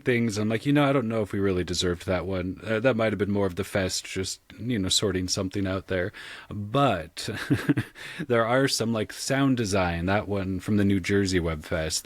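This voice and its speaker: A man with a very deep voice talks in a dry, close recording with no room sound. He is a podcast guest, speaking casually and candidly, in a thoughtful, reflective way. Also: no room sound. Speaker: podcast guest, audio drama sound designer and game master. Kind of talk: casual, reflective interview talk.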